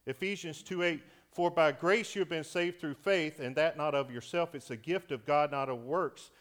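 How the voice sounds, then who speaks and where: A fairly high-voiced man preaching in a church. He is a deacon with a big voice, and he swings between booming emphasis and near-whispers. He speaks into a microphone he wears. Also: moderate pace. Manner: animated preaching, swings from loud to hushed. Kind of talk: sermon. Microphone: wearing a microphone.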